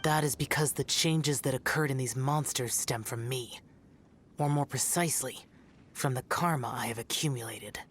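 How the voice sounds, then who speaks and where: A man's voice: mid-range voice, moderate pace, a bit bright, a little hiss or hum, natural voice. A male actor playing a stoic, youthful immortal demon-slayer in an anime video game, speaking in a grave, formal, aloof way. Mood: solemn apology. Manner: formal, grave, archaic phrasing. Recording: music behind the voice.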